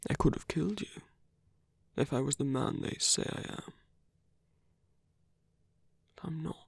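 Man playing a husky, whispery seductive villain. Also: a little high, no echo, full character voice. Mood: earnest, persuasive. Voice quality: breathy, husky, often whispering. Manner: slow, intimate, persuasive. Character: seductive, calm male villain leader, coaxing his captive hero. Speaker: voice actor. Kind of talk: ASMR roleplay monologue. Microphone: close, dry microphone.

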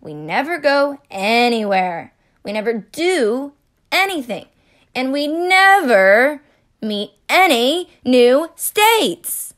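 A restless storybook state played by a woman, a male cartoon character hungry for more. The delivery is dramatic and slow, stretching key words, with a lively, swinging pitch. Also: exaggerated voice. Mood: bored, frustrated. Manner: dramatic, drawn-out emphasis.

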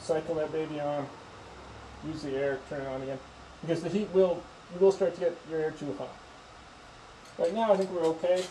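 A man's voice: on the high side, steady tone, brisk, pauses often, dark tone, low-quality recording. A man demonstrating spray finishing in his home garage, thinking aloud casually and restarting his sentences. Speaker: home woodworker. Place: home garage paint booth. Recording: hissy spray and compressor noise.

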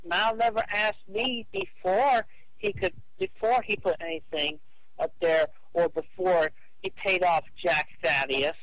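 A man on a phone call arguing his case in a halting, repetitive, insistent way. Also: high-pitched, fairly bright tone, no echo. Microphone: telephone call.

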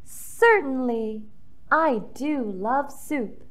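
Woman playing a coy, high-voiced lady goose. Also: lively intonation, exaggerated voice. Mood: coy, sweet. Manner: coy, drawn-out, dainty. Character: demure, sweet female goose with hidden cunning. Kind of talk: children's storybook read-aloud.

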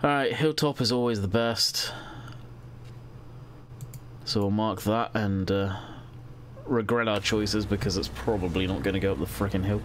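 A man's voice: lowish voice, moderate pace, pauses often, slight background noise. A young man with a stuffy, congested voice, chatting casually as a gaming channel host and thinking aloud while he plays. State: congested from a cold. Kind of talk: casual let's-play commentary.

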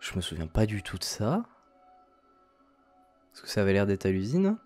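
A man's voice: fairly low pitch, sing-song, normal speed, a little mellow. A man giving casual commentary as a let's play host, with game music behind him.

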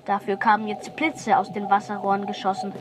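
A woman's voice: medium pitch, flat delivery, brisk, heavy background noise. A woman reading scripted narration for a children's radio play. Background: music.

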